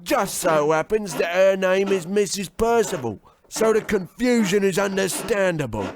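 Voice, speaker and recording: Man voicing a sleazy greaser slot machine, gravelly fry, high pitch, slow smug drawl, comic radio drama. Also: animated, faint music behind. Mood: taunting. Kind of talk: comedic old-time radio play acting.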